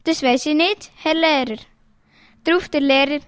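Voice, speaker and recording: A woman reading jokes aloud in a lively storytelling way, changing her voice for the quoted lines. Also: high-pitched, moderate pace, dry recording.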